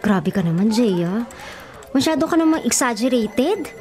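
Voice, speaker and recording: An actress playing a meddling young matchmaking friend in a Tagalog radio drama, with a Filipino accent and a prodding, exclamatory manner. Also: female voice, fairly high pitch, big pitch swings, a little slow, mellow. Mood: prodding, exasperated.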